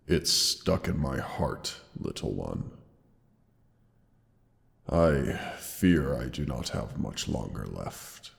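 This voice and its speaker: A man voicing a wounded, dying ancient dragon who speaks to his beloved with slow, weary tenderness. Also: darkish tone, in a large hall, full character voice. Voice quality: deep gravelly voice. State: wounded, pained. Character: dying ancient male dragon, gentle and loving. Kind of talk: audio roleplay drama.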